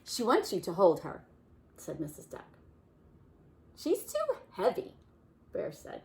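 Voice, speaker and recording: A woman reading a picture book aloud to children, gently asking questions about the pictures. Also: mid-range voice, wildly swooping pitch, slow pace, creaky, slight background noise. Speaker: children's story reader. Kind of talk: storytime read-aloud for kids.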